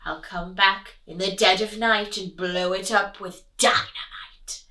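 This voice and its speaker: A woman voicing a greedy, boastful male wolf in a storybook reading, loud and gloating. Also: mid-range voice, fairly even intonation, a little slow, far from the mic, pitched below the performer's own voice. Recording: roomy room, voice from the mic.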